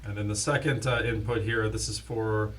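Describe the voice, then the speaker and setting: A man's voice: on the low side, level tone, normal speed, fairly bright tone. A man hosting a casual, unscripted product demonstration, talking like an in-house expert. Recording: distant mic, faint background noise.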